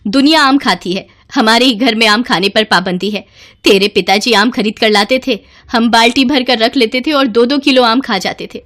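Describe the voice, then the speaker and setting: A woman's voice: a little high, moderate pace, a bit bright, lightly acted. A woman voicing an exasperated middle-aged mother-in-law, grumbling and long-suffering, in a cartoon story.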